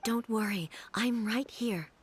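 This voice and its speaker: An actress playing a calm, dignified woman in a video game, composed and soothing with gentle authority. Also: a little high, unhurried, slight background noise, natural voice. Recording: studio voice over game music. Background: clear game music.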